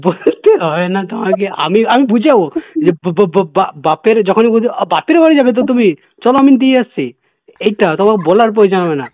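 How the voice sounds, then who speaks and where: Guy from india doing a prank call, talks really fast, coaxing, slightly high voice, dry lo-fi sound. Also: expressive, dry recording. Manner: sweet-talking, coaxing, repeatedly tags sentences with a check-in. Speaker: prank caller. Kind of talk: prank phone call chat. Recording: dull lo-fi call recording.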